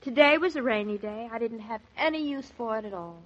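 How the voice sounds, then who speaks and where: A woman's voice: a little high, a little fast, close to an everyday voice. An actress playing an exuberant, impulsive young newlywed wife in 1940s radio drama style, girlish, playful and eager. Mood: pleading, affectionate. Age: young adult. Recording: dull vintage 1940s radio transcription.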